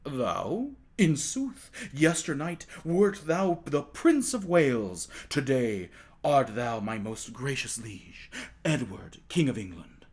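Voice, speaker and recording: A storyteller voicing a solemn royal servant in a low, slow, deferential tone. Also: very animated, a little mellow, slightly rough, exaggerated voice.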